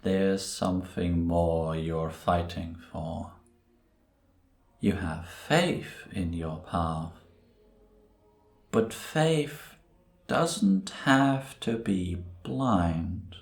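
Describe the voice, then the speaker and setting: A man playing Death as a grave, gentle reaper who speaks in a measured, deliberate way in an audio roleplay. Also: low-pitched, vocal fry, exaggerated voice. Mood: solemn, probing. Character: solemn, gentle male Death, a reaper who was once human. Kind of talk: cinematic audio roleplay acting. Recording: roomy, reverberant sound.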